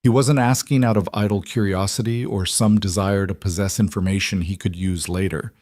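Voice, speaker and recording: A man's voice: lowish voice, fairly even intonation, brisk, darkish tone, no echo, studio quality. A man telling a story as scripted romance audiobook narration.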